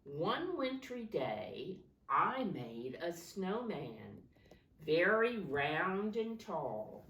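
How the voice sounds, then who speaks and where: A woman with a low voice leads storytime for small children. Her delivery is slow and instructive, in simple words with questions and prompts. The recording is roomy, with the microphone some distance away. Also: very animated, a bit bright, slight background noise. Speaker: preschool teacher reading a picture book aloud. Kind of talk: storytime talk to young children.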